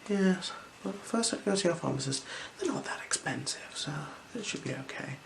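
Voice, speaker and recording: A gentle, unhurried ASMR performer speaking softly and slipping into whispers while role-playing an eye examiner. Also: mid-range voice, lively intonation. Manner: gentle, unhurried, soothing instructions. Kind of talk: ASMR role-play, soft-spoken with whispers. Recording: clear background noise.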